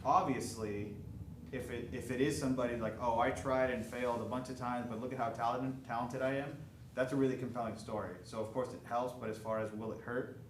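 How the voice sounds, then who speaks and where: A man with an ordinary mid-range voice moderating a panel in a relaxed, storytelling way. He sounds set back from the mic in a reverberant hall, with noise underneath. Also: mid-range voice, a little fast. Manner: relaxed, storytelling, casual swearing. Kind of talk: panel discussion. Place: event hall. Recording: echoey hall, distant mic, noisy.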